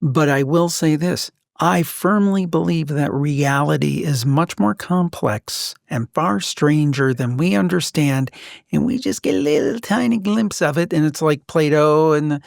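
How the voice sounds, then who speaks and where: Higher-pitched, creaky-voiced man hosting a podcast. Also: a little slow, no echo, studio quality. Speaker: podcast host. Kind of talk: conversational interview talk.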